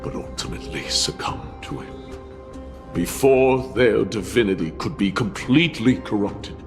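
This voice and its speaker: A solemn, weary male warrior from a fantasy game. His deep voice moves slowly and deliberately, with grave weight on each phrase, over prominent music. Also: expressive. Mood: grave recounting. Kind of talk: dramatic video game voice acting.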